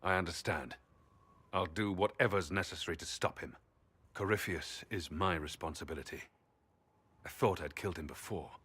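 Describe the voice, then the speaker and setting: Grim male fighter: low, husky, duty-bound game dialogue. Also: normal speed, a bit bright. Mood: grim, resolute. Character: grim, resolute male warrior sworn to duty. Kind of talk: video game dramatic dialogue.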